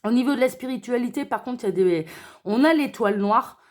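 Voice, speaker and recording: A woman's voice: on the high side, fairly quick. A woman with a slight cold and a sore throat, reading cards for her viewers in a chatty way, tagging her sentences with little check-ins. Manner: chatty, many "hein" and "d'accord" tags. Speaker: tarot card reader. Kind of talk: spiritual card reading to viewers.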